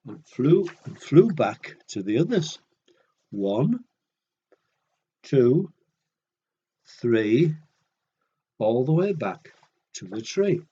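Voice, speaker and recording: A man tells a children's story in an unhurried, husky voice. He is very expressive, his pitch rising and falling as he breaks off for chatty asides, and the recording is slightly muffled. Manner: expressive, conversational, addressing young listeners. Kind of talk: picture-book reading with chatty asides.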